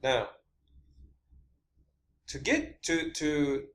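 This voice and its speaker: A man's voice: a little high, big pitch swings, very slow, fairly bright tone. A man giving an informal, unscripted explanation, stressing his points emphatically by repeating phrases. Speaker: amateur science theorist presenting own hypothesis.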